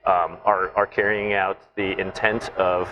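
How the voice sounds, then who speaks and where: A man's voice: sits low, flat delivery, a little slow, lo-fi sound, over music. Thoughtful man explaining flight testing. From Taiwan, raised in Southern California. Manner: thoughtful, explanatory, with frequent "right?" tags. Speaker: naval flight test officer, guest. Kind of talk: interview conversation.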